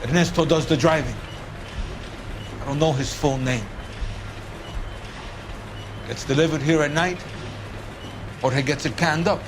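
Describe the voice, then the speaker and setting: A man's voice: a little high, a little slow, pauses often, noisy background. A man acting an evasive, defiant produce worker under questioning in a video game.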